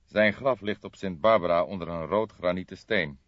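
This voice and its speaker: Man playing a gruff, drawling police detective. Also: deep voice, moderate pace, no room sound, close to an everyday voice. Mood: probing. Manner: gruff, drawling, emphatic, formal. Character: stern, dogged older police detective, male. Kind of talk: audiobook character voice. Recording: dull lo-fi audiobook recording.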